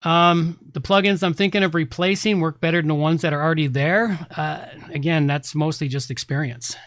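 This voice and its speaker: A man's voice: mid-range voice, dry recording, studio quality. A middle-aged man hosting a podcast, talking casually in a rambling, blunt, informal way. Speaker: podcast host and website consultant. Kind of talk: casual tech podcast talk.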